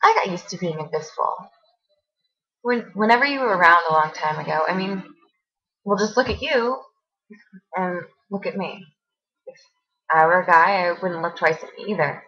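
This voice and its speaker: Actress as wounded young woman confronting an old friend; lowish, sharp voice, halting and pointed, stage monologue. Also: lively intonation, highs cut off. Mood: wounded, hesitant. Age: young adult. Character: hurt, accusing young woman confronting a former best friend.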